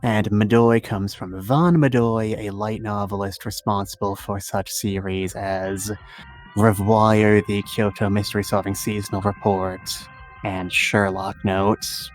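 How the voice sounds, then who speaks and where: A man chatting casually as the guest in a livestream interview, wordy and self-deprecating, often halting mid-phrase. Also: fairly low pitch, little pitch movement, soft background music.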